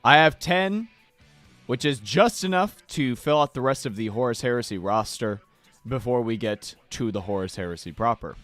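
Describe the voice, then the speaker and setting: A man with a slightly hoarse voice, hosting a casual livestream where he paints miniatures and chats playfully with viewers. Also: medium pitch, expressive, normal speed, a bit bright, no room sound. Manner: playful, chatty, addresses chat directly. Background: faint background music.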